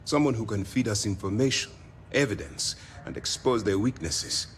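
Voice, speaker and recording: A composed military commander from a space game. His voice sits fairly low and moves expressively as he gives a formal, deliberate briefing. There is faint ambient noise behind him. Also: big pitch swings. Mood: formal, purposeful. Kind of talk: video game character dialogue.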